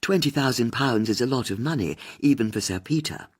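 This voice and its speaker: A woman reader puts on an older aristocratic divorcee in an audiobook. She is bitter, haughty and weary, with a husky voice. Her pitch moves freely as she scorns those around her. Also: animated, a little fast, exaggerated voice. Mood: guarded. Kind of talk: audiobook character dialogue.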